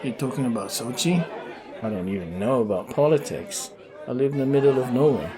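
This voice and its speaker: A man's voice: mid-range voice, animated, fairly quick, crowd noise, lightly acted. A man playing an agreeable, laid-back civilian from the countryside in an audio drama. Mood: concerned.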